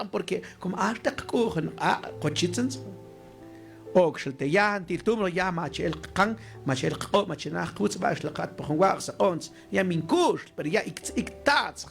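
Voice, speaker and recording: A male preacher, a Mam speaker, delivers an exhorting scripture sermon at a slow pace. His pitch moves in lively swings as he addresses the congregation. Music plays behind his voice. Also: creaky. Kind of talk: sermon on scripture, mixing Mayan language and Spanish.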